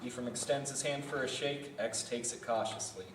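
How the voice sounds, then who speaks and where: A man narrates a script at a table read, giving the stage directions in a mid-pitched voice. He sounds distant in an echoey room, with some faint noise around him. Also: steady tone, normal speed, a bit bright. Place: indoor festival venue.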